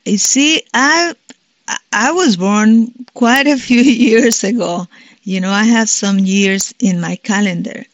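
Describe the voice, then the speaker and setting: A woman in her sixties from Colombia with a Colombian Spanish accent, telling her life story in a reflective way, pausing and restarting often. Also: mid-range voice, no echo, highs cut off. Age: 60s. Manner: reflective, many restarts and pauses, soft-spoken. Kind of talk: personal life story, unscripted.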